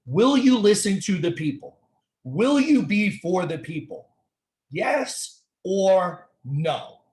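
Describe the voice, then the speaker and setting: A man's voice: high voice, sing-song, takes it slowly, darkish tone, slight background noise. A man with an American accent hosting a casual conversational interview, emphatic and given to repetition and rhetorical questions. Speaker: talk show host.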